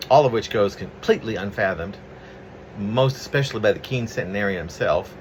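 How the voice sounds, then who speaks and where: Male poet, low-pitched, swooping intonation, casual and halting delivery, home recording with noticeable steady room hum. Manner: rambling, halting, conversational asides. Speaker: poet reading his own work. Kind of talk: casual preamble and poetry reading.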